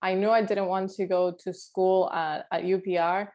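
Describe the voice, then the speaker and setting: A woman's voice: mid-range voice, level tone, normal speed, mellow. A woman in her thirties from Puerto Rico, an architect talking about her life on a video call, laughing often and using many fillers. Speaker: guest alumna, architect.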